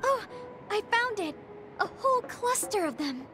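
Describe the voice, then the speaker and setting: A woman playing a gentle, earnest but stubborn young female soldier in an anime-style video game, speaking in short, halting sentences. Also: extremely high pitch, big pitch swings, over music, exaggerated voice. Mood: alert, excited.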